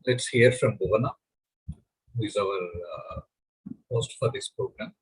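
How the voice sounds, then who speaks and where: A man with a raspy, husky voice leads the group over a video call. He speaks calmly and plainly and asks others to share. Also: medium pitch, measured pace, low-quality recording. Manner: calm, plain, inviting people to share. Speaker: meditation session leader. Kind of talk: online group session hosting.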